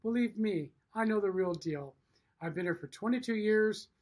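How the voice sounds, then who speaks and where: A man's voice: high-pitched, normal speed, a little mellow. A middle-aged man giving a promotional message to camera as a business owner and host, emphatic, in short punchy sentences. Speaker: factory owner and show host. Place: indoor room with wood-block walls.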